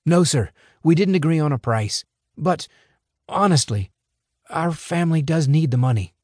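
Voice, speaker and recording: A wary teenage courier , voiced by a man speaks politely but stays guarded and anxious. His voice sits high and moves about lively. The recording is dry, with no echo. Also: moderate pace.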